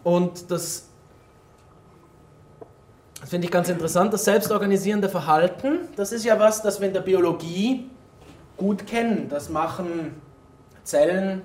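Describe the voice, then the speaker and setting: A man's voice: sits high, measured pace, pauses often, a little mellow. A man giving an explanatory conference talk in an informal way, addressing his audience directly as a native German speaker. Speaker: conference speaker, former molecular biologist. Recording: roomy echo, distant microphone in hall.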